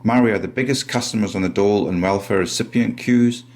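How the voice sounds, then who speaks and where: A man's voice: lowish voice, fast, a little mellow. A man reading a scripted voice-over in a sardonic tone. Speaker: channel narrator.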